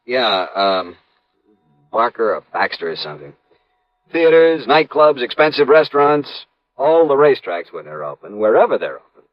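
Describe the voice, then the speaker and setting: A man's voice: mid-range voice, very animated, normal speed, dry recording, natural voice. An actor playing an emphatic, opinionated American insurance man in 1950s radio drama style, insistent and sure of his suspicions. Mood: scornful. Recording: dull, band-limited old radio recording.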